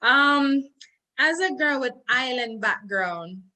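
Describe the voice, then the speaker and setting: A Jamaican woman leads a relaxed interview with a bright, forceful voice pitched a little high. She keeps her guest going with steady murmurs of agreement. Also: slow pace. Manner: warm affirming interviewer, frequent "mm-hmm". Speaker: podcast host. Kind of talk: casual interview.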